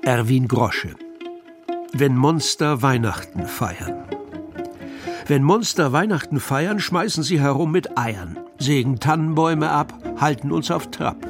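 A man reciting Christmas poems for children as a scripted reading, with music behind him. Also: medium pitch. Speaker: poetry reader.

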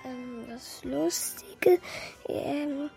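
An actor playing a lively, naive and cheeky little wooden puppet boy in a children's radio play, with a childlike, questioning way of talking. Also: high voice, very slow, gravelly, soft background music, heavily acted. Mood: curious, hesitant.

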